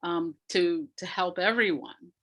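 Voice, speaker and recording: A woman with a fairly low voice speaks at a very unhurried pace as an interview guest. She is reflective and emphatic, leaving pauses between phrases as she explains her views conversationally. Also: fairly bright tone. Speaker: interview guest, arts practitioner.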